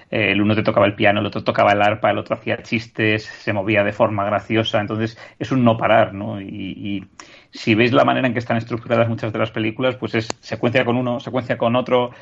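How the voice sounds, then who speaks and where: A man's voice: lowish voice, vocal fry, lo-fi sound. A man from in a casual film discussion on a podcast, explaining at length and checking for agreement. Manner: talkative, explanatory, seeks agreement with tag questions. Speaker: podcast panelist.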